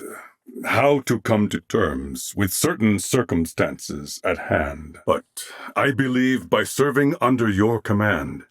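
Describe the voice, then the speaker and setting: A man playing a courteous, dignified, loyal warrior in a video game, with formal, measured and humble diction. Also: on the low side, no room sound, studio quality, exaggerated voice. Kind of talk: scripted video game character acting.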